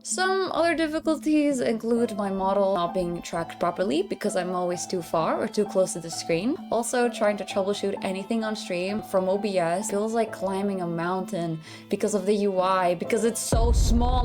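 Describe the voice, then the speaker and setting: A woman's voice: mid-range voice, normal speed, hardly any pauses. A woman VTuber narrating her own video casually, with a wry, playfully grumbling manner. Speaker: VTuber and content creator. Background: background music.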